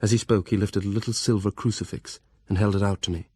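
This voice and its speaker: Audio drama: a sober young Victorian solicitor, lowish voice, measured journal reading, dry sound. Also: male voice, steady tone, a little mellow, slightly rough, no echo. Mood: sober, earnest.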